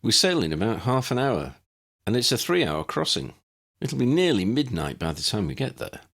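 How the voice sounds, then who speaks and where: A man's voice: medium pitch, sing-song, normal speed, vocal fry, fairly bright tone, voice pushed up, exaggerated voice. A man voicing an earnest young male wizard in an audiobook, explaining things patiently. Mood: earnest, explanatory. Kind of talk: audiobook character dialogue.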